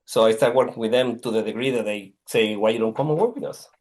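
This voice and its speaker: A middle-aged man from Mexico with a Mexican Spanish accent, answering podcast interview questions as a guest, halting and searching for words with many pauses and restarts. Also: mid-range voice, darkish tone. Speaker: guest, technology executive.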